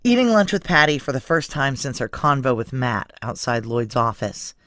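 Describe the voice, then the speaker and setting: An actress playing a tough, guarded ex-military security chief, blunt and wry, in a noir science fiction audio drama. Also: female voice, extremely low pitch, very animated, normal speed, a bit bright, exaggerated voice. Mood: wry, confiding. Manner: blunt, clipped, profane, wry.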